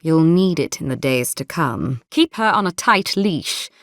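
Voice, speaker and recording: An actress playing a polished, menacing military captain in a fantasy video game, courteous and measured with a silky threat underneath. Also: female voice, sits low, lively intonation, no room sound, studio quality. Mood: cool warning.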